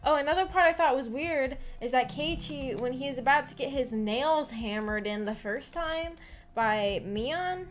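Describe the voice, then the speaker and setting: A woman in a casual, rambling discussion as a streamer, animated and giggly, often hesitantly thinking aloud. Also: high-pitched, measured pace. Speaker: streamer hosting a visual novel book club discussion. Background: background music.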